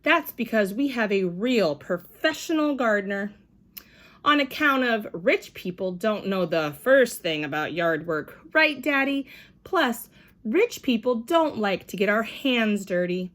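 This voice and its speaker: A woman voicing a spoiled, whiny rich little girl who boasts in a shrill voice. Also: animated, unhurried, fairly bright tone. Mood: boastful.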